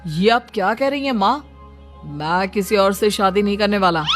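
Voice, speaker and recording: A woman's voice: mid-range voice, animated, moderate pace, close to an everyday voice. A woman puts on a troubled young married son in a moral story. He sounds earnest and dutiful, protesting to his elders over background music.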